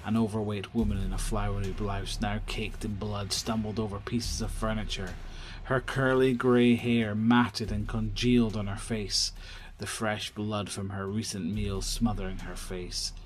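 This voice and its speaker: Low-voiced male horror narrator over music. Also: level tone, rough recording. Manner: measured, descriptive storytelling. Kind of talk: scripted audio drama horror narration.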